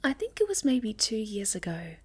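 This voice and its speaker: Girl doing a shy sweet moth creature for asmr rp, kinda high soft voice, hesitant, breathy almost whispery. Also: big pitch swings, moderate pace. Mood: wistful, shy. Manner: shy, hesitant, gentle, often dropping to breathy near-whisper. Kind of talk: ASMR roleplay, soft intimate storytelling to the listener.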